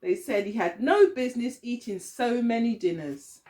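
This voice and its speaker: A woman teacher reading a picture story aloud to young children, expressively, often pausing to put questions to them. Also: a little high, warm tone.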